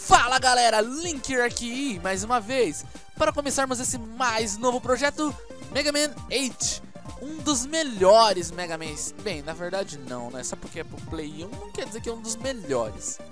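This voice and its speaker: Brazilian guy commentating over loud game music. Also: very high voice, animated, normal speed. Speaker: gaming video host. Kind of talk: casual let's play commentary.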